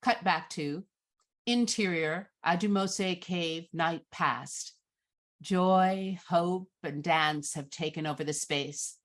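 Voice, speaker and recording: Unhurried woman narrating a screenplay. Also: lowish voice. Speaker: narrator reading stage directions. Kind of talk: scripted narration at a live screenplay reading.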